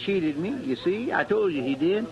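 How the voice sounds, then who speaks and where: An actor playing a folksy, naive sidekick in a vintage radio Western, plaintive and deferential, with a rural American accent. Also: male voice, sits high, animated, moderate pace, a crowd in the background. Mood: curious, indignant. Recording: dull, hissy old radio recording.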